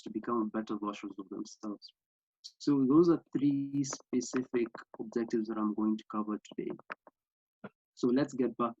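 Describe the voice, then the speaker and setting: A man's voice: mid-range voice, a little mellow, no echo. A young man from Kenya with a Kenyan accent, earnestly presenting an online workshop over a video call, with many fillers and restarts. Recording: slightly dull lo-fi sound.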